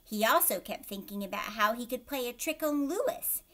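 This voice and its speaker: A woman teacher reading a picture book aloud to children, expressively, pausing to explain and ask them questions. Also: fairly high pitch. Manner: expressive, asks young listeners questions.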